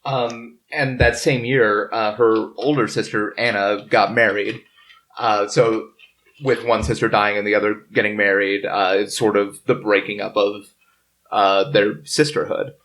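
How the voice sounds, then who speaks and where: Halting male podcast host. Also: lowish voice, flat delivery, a bit bright, low-quality recording. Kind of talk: narrating history from notes in casual group talk.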